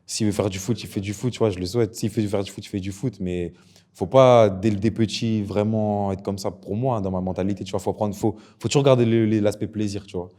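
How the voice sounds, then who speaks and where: A young man from Ivory Coast, a professional footballer, chatting casually as a guest, relaxed and slangy, repeating himself often. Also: fairly low pitch, brisk. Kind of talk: casual interview chat.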